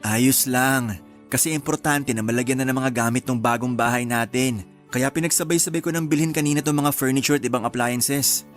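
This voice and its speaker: A man's voice: mid-range voice, fairly quick, faint music behind, close to an everyday voice. A man playing a devoted young husband. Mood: loving. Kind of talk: radio drama acting.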